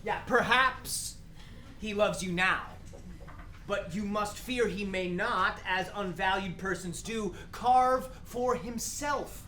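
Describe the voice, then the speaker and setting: A woman's voice playing a protective young nobleman, measured and admonishing, in a Shakespeare radio play. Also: mid-range voice, sharp tone. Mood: cautioning, grave. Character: cautioning, protective young nobleman brother Laertes. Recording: roomy echo, light background noise.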